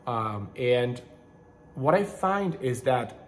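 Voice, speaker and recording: A man's voice: mid-range voice, big pitch swings, slow, slight background noise. A middle-aged man from Ukraine who hosts a channel, talking casually to camera in a rambling way and often correcting himself mid-sentence. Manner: rambling, self-correcting, conversational with frequent restarts. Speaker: channel host, online seller and manufacturer.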